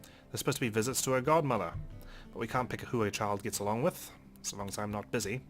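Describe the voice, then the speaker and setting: A man's voice: lowish voice, fast, soft background music. A man hosting a game playthrough, reading a visual novel's first-person narration in a dry, theatrical way. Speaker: let's play host.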